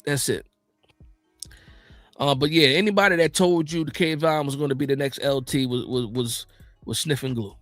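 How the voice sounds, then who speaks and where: An American man hosting a casual football livestream, reading out viewer comments and reacting in slangy, animated, informal talk. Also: medium pitch, moderate pace, pauses often, no echo, faint music behind. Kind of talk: casual fan chat about football.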